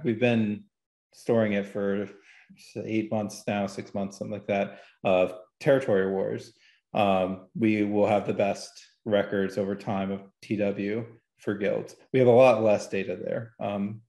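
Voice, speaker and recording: Male podcast guest, lower register, casual and self-correcting, recorded in a reverberant room. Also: little pitch movement, normal speed, warm tone. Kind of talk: casual discussion of game statistics. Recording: roomy, echoey sound.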